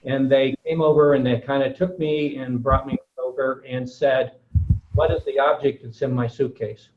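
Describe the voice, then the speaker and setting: A man from the United States telling a class a relaxed anecdote, folksy and hesitant with frequent fillers. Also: mid-range voice, moderate pace, phone-quality sound, noisy background. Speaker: guest speaker.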